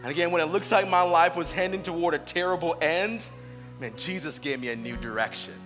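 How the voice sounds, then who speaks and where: A man in his thirties preaches an Easter testimony, earnest and personal, leaning into key phrases slowly. His voice sits a touch high. It rings through a large reverberant church hall while music plays clearly underneath. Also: fairly bright tone. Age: 30s. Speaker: pastor. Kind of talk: Easter sermon, shared testimony.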